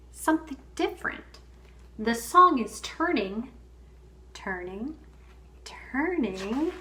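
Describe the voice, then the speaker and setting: Woman reading a kids story, slowish n expressive, higher voice, ambient noise behind. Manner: measured, expressive storytelling with pauses. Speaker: children's story reader. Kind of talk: picture book read-aloud. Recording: clear background ambient noise.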